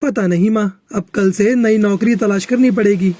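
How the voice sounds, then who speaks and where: A man's voice: high-pitched, normal speed, dark tone, natural voice. A man voicing an earnest, weary husband crow in a moral-story cartoon, speaking plainly. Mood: dejected.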